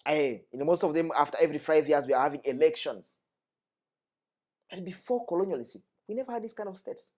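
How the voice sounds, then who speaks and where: A man's voice: on the high side, fairly quick, darkish tone. A young man from the Democratic Republic of Congo, speaking earnestly and with emphasis as a guest, repeating his key points. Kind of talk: earnest discussion.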